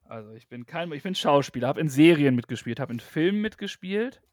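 A man's voice: fairly high pitch, lively intonation, a little fast, darkish tone, no room sound, studio quality. A man co-hosting a podcast, playing a casual guessing game, teasing and laughing often. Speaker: podcast co-host.

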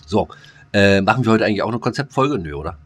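A man's voice: on the low side, lively intonation, fast. A man co-hosting a podcast, chatting casually in a rambling way with lots of fillers, restarts and crude slang. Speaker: podcast co-host. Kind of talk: casual chat.

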